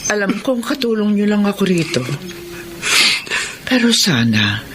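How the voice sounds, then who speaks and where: A woman playing a humble, loyal housemaid in a Tagalog radio drama, gently but firmly scolding with earnest respect. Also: medium pitch, very animated, a little slow, background noise. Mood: gently reproving.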